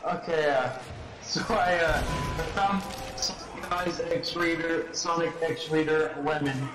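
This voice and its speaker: A man talking and reading aloud in casual game voice chat, halting and sweary. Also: on the high side, fairly even intonation, over music, poor audio. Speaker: player reading fan fiction aloud in an online game.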